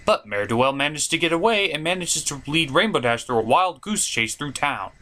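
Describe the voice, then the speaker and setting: Man, bright forceful voice, fairly high, theatrical, sardonic, comedic review host. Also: expressive, moderate pace. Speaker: host of a cartoon review show. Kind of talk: comedic review commentary with puppet banter.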